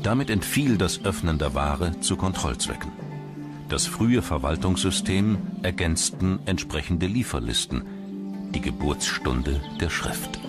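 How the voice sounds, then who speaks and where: A man reads scripted documentary narration in a measured, storytelling way. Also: low voice, animated. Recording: loud music under the voice. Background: loud background music.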